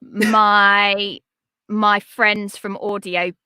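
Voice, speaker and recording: A woman's voice: flat delivery, extremely slow, bright, forceful tone, clean tone, no echo. A woman in her forties from England, a podcast coach talking as an interview guest in an upbeat, encouraging way. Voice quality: high-pitched. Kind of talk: casual interview chat.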